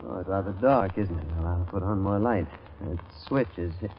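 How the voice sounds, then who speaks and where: A man's voice: low voice, brisk, close to an everyday voice. An actor playing a polite, slightly hesitant store salesman in an old radio drama. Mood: courteous. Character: courteous, obliging male store salesman. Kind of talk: 1940s radio acting. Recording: muffled, noisy old radio recording.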